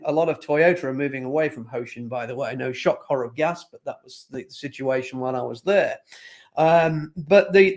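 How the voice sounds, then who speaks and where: Emphatic man with a higher voice. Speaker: panelist, consultant to executives. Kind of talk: panel discussion.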